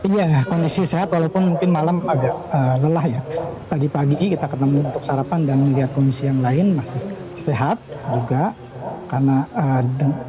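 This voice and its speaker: A middle-aged man answering questions over a remote call, halting and pausing often with filler sounds. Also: mid-range voice. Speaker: evacuee interviewed by phone. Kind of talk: hesitant phone interview answers. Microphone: phone or video call. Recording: dull lo-fi remote line with crowd behind.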